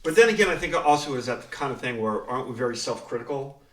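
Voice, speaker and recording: A man's voice: mid-range voice, lively intonation, fast, slight background noise. A man talking casually in a group interview as a writer and director, with a joking, self-deprecating manner. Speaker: writer, director and producer of a radio play, interviewee. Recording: echoey room, distant mic.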